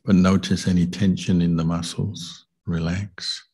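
Very deep, slow, gravelly male meditation guide. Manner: calm, deliberate, gentle instruction with long pauses. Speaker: Buddhist monk meditation teacher.

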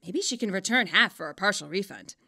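A woman narrating a scripted story in an expressive, wryly dramatic way. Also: medium pitch, a little fast. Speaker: author narrating own fan fiction.

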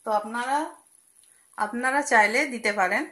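A woman's voice: on the high side, fairly even intonation, moderate pace, a bit bright. A young woman hosting a home cooking video, chatting through each step of a dessert recipe with fillers and asides. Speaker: cooking channel host. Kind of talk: casual cooking demonstration voice-over. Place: home kitchen at a gas stove. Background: frying and sizzling from the pan.

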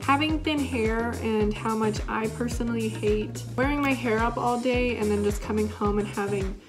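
A woman's voice: a little high, normal speed. A young woman giving a casual, informal demonstration in a chatty way, recorded indoors in a living room. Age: young adult. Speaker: product presenter. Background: music in the background.

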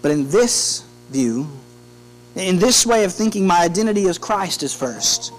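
A man's voice: sits high, unhurried, poor audio. Guy preaching in church, conversational, restarts his sentences a bit, faint mains hum behind him. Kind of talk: sermon. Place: church sanctuary, indoors at a pulpit. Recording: steady electrical hum behind the voice.

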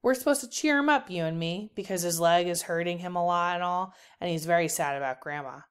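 A boastful little girl from a storybook, voiced by a woman reader, pestering and teasing. The voice is clean and smooth. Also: fairly low pitch, fairly quick, natural voice. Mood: earnest, scolding. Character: boastful, nagging little sister, a young girl.

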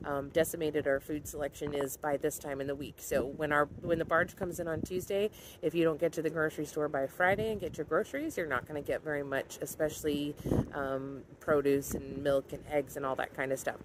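Low-voiced woman vlogging over loud noise. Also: steady tone, fairly quick. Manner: chatty, explaining with frequent ums. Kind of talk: casual vlog walkthrough. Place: outdoors in a parking lot, then inside a grocery store. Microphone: phone held at arm's length.

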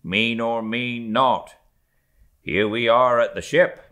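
A man's voice: mid-range voice, fairly even intonation, slow, fairly bright tone, voice pushed up. A man voicing a brash, boastful whaling mate who talks in blustering, emphatic tall talk, as in an audiobook. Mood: brusque.

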